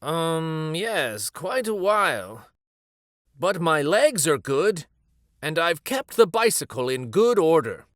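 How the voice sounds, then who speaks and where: A man voicing a gentle, nostalgic old grandfather from a picture book, musing and a little hesitant. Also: fairly high pitch, big pitch swings, slow, no echo, studio quality, full character voice. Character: gentle, nostalgic old Japanese grandfather, retired street storyteller.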